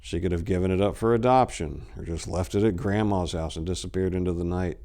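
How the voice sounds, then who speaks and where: A man's voice: deep voice, brisk, mellow. A man in his forties giving a dramatic reading of a short story into a lapel mic. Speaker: story reader.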